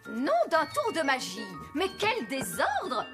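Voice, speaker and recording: Very high, bossy nanny fairy. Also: female voice, huge pitch swings, full character voice. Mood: brisk, appalled. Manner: brisk, emphatic, theatrical. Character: brisk, bossy fairy nanny, cheerfully no-nonsense. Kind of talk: dubbed children's cartoon acting. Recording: loud music behind the voice.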